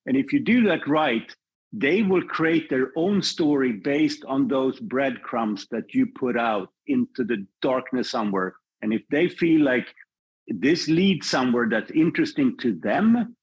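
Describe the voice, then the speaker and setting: A male filmmaker being interviewed on a podcast, talking in a measured, reflective way and often restarting his sentences. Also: mid-range voice, slightly rough, low-quality recording. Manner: thoughtful, measured, with frequent restarts. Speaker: filmmaker guest.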